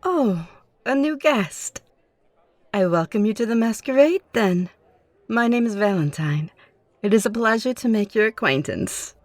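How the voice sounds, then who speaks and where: A woman's voice: a little high, lively intonation, unhurried, no echo. A woman playing a gracious, playful vampire in an ASMR roleplay, with a formal, courteous, poised way of speaking. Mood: welcoming.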